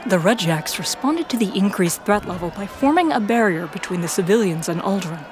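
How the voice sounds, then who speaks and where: A woman's voice: mid-range voice, lively intonation, a little fast, over music. A woman reading scripted first-person narration for a fiction audio drama. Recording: clear background noise and ambience.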